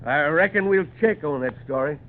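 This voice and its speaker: Man playing a high-voiced folksy Western rancher. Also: moderate pace, a crowd in the background, natural voice. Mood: firm. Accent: rural American. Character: plain-spoken rural Western landowner, steady and fair. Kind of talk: 1940s radio acting. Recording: tinny, noisy 1940s radio recording.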